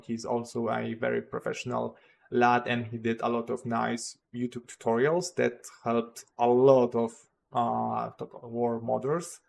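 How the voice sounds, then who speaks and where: Gravelly Polish-accented man, rambling. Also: mid-range voice. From Poland. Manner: rambling, hesitant, many fillers and restarts. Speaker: modding livestream host. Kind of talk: casual livestream talk.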